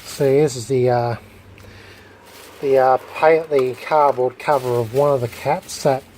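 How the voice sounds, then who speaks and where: A man with an average-pitched voice talks through a hands-on hobby demonstration. He is unscripted and casual, often halting as he hunts for the right word. Light background noise and room ambience sit under his voice. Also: steady tone, darkish tone, low-quality recording. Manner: halting, searching for words. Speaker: hobbyist electronics restorer presenting a video.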